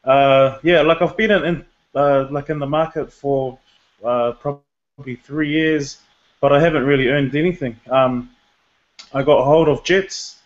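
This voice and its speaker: A man's voice: mid-range voice, fairly even intonation, unhurried, dull audio. A man from Tonga, raised in New Zealand, giving a hesitant, filler-heavy testimonial on a call. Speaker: guest member. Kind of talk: casual testimonial.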